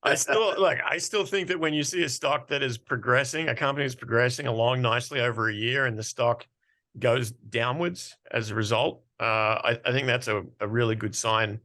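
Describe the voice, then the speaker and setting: A man with a medium-pitched voice hosts an informal interview. He speaks in a blunt, wry way, chatting casually with his guest. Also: normal speed, pushed and bright. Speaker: interviewer and host.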